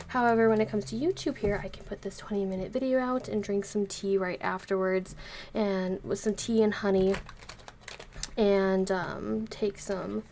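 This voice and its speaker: A woman's voice: medium pitch, gravelly, a little mellow, a little hiss or hum. A woman, congested and recovering from being sick, giving a chatty, rambling tarot reading to camera as the channel's host. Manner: chatty, rambling, many fillers. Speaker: tarot reader.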